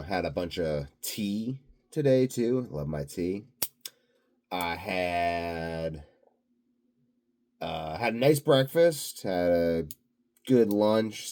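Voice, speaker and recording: Deep-voiced, sleepy man rambling casually. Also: a little mellow. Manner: dry, tongue-in-cheek grandiose, rambling. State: tired, sleepy. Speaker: vlogger, channel host. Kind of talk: rambling unscripted video diary.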